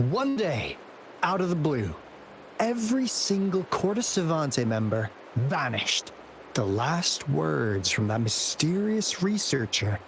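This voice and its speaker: A man's voice: medium pitch, slight background noise. A man voicing a playful, theatrical young investigator in a video game, teasing and telling stories dramatically. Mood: dramatic, mysterious. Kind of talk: video game character dialogue.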